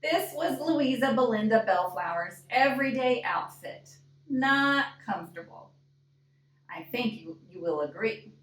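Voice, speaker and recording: A woman reading a picture book aloud in an expressive storytime style, deliberate and often putting questions to young listeners. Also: fairly high pitch. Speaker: librarian reading a storybook to children. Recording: echoey room, distant microphone.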